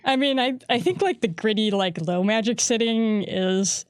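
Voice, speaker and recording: A woman's voice: on the high side, moderate pace, smooth, no room sound, clean studio sound. Playful woman joking on a game stream. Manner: playful, joking, laughs often. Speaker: co-host of a game design stream. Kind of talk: casual live-stream chat.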